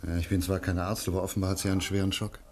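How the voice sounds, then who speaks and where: An actor playing a composed, inquisitive doctor turned sleuth in a German radio mystery, courteous and probing. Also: male voice, low-pitched, flat delivery, very fast, crowd noise, lightly acted. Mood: careful, concerned.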